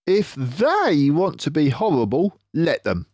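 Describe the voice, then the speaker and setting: A sly, self-assured gentleman fox, as played by a man reading a storybook. The voice leaps high and swoops widely, lingering theatrically on words with slow, emphatic relish. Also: huge pitch swings, no echo, studio quality, full character voice. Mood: persuasive. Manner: theatrical, emphatic, drawn-out delivery. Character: cocky, suave male fox, sly leader and provider.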